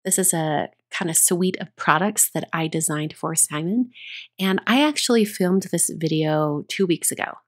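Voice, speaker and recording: A woman recording a relaxed, chatty voiceover for a card-making tutorial, her voice a little rough after being sick, with frequent ums and restarts. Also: lowish voice, normal speed. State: recovering from illness, voice a bit rough.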